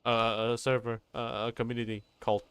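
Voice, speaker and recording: A man's voice: medium pitch, flat delivery, normal speed, a crowd in the background. Casual male game streamer, bantering. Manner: bantering, swears freely. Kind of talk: casual live-stream commentary. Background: game music.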